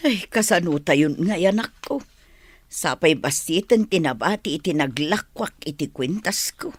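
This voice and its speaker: A woman's voice: lowish voice, animated, unhurried, slightly rough, no echo. A fretful Filipino mother in a radio drama. Her speech swings expressively and turns plaintive as she worries over the household. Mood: anxious.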